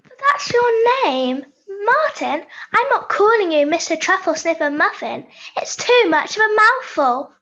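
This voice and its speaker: A woman's voice: very high-pitched, big pitch swings, a little slow, fairly bright tone, heavily acted. A young woman voicing a chatty, unbothered female puppet in an amateur play over a video call. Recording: muffled video call audio.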